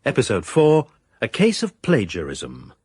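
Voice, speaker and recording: A male announcer reads drama credits in a scripted style. His voice is husky and mid-pitched, with lively intonation and a close, dry sound. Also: a little slow, dry recording. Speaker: continuity announcer.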